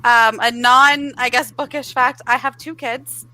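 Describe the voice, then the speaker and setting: A woman's voice: sits high, steady tone, a little slow, bright, forceful tone, no room sound, poor audio. A woman chatting casually as a guest book vlogger on a video call. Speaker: book YouTuber, panel guest. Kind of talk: casual chat.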